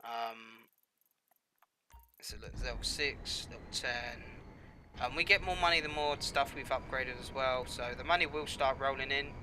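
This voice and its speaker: A man with a slight cold talks through his gameplay in a loose, rambling way, stopping often for ums and you-knows. His voice is a little nasal and sits at a middle pitch. Steady game noise plays clearly under him. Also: a little slow. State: has a cold, a bit nasal. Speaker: gameplay commentator. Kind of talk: casual gameplay commentary.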